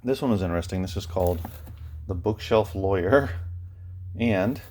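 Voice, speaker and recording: A man talking casually as an online reseller showing his sales, in a rambling, low-key, matter-of-fact way. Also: moderate pace, a little mellow. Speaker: online book and media reseller sharing recent sales. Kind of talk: casual vlog talk, showing items. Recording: noticeable background noise, clicks.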